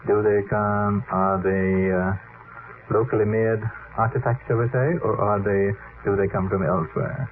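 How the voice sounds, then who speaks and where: A man speaking in an unscripted radio discussion, deliberate and musing, rephrasing as he goes. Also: lowish voice, steady tone. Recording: noisy, phone-like vintage radio archive recording.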